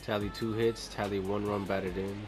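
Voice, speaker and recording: A man's voice: on the low side, level tone, normal speed. A man reacting casually to gameplay in slangy, repetitive exclamations. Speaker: gamer. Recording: loud game audio over voice. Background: loud game music.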